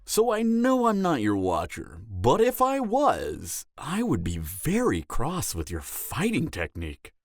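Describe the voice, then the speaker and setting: A man's voice: mid-range voice, huge pitch swings, unhurried, hardly any pauses, a little hiss or hum. A man playing a cocky, flirtatious vampire mentor in an audio roleplay, lecturing in a teasing, sardonic way. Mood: teasing, critical. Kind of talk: dramatic audio roleplay acting.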